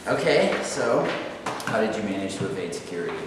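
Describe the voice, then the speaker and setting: A man's voice: on the low side, big pitch swings, brisk. Man playing a hesitant young student, echoey hall. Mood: awkward, tender. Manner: hesitant, earnest. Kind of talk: staged play reading. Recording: roomy echoing hall, distant mic, hiss.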